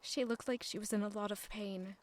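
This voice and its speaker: An actress playing a gentle, worried young noblewoman in an audio drama, speaking softly and earnestly. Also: medium pitch, fairly even intonation, fairly quick, close to an everyday voice. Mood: worried, pleading.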